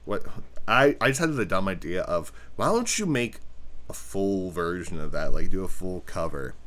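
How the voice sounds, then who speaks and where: A man hosting a casual livestream, talking in a low voice and rambling excitedly like a fan. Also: moderate pace. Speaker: livestream host.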